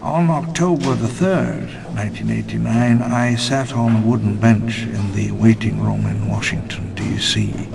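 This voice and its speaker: An actor playing a wistful elderly park founder, narrating his memoirs in a measured, theatrical, ruminative way for a game audio log. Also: on the low side, steady tone, background noise. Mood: rueful, somber. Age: older. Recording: long reverb under clear music.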